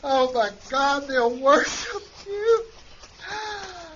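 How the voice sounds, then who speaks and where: A man's voice: very high-pitched, expressive, very slow, fairly bright tone, full character voice. An actor playing a crude, drunken, swaggering male astronaut in a radio play, slurring and boastful. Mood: lecherous excitement. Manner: leering, boastful, coarse. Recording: dull lo-fi recording, faint noise.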